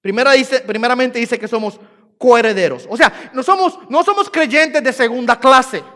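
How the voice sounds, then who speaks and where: A man's voice: very high-pitched, moderate pace, pushed and bright. A man with a Puerto Rican accent preaching a sermon as pastor, repeating phrases for emphasis and rising and falling in force. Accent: Puerto Rican Spanish. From Puerto Rico. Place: church sanctuary. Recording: church hall reverb.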